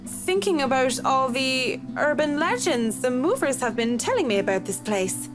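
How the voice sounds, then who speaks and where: An actress playing a guarded, polite young woman working at a mansion in a visual novel, measured and gently probing. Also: high voice, sing-song. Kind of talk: visual novel game acting. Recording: game music underneath.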